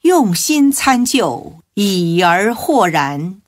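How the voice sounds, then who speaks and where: A woman giving a solemn, measured and expressive scripted narration of classical religious biography. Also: medium pitch, no room sound, clean studio sound.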